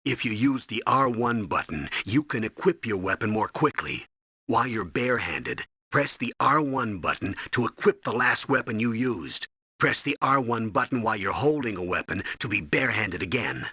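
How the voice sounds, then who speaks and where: A man's voice: lowish voice, very animated, moderate pace, sharp tone, husky, no room sound, studio quality, exaggerated voice. A man playing a stern older American military colonel in a video game, giving clipped, authoritative mission briefings. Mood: instructive.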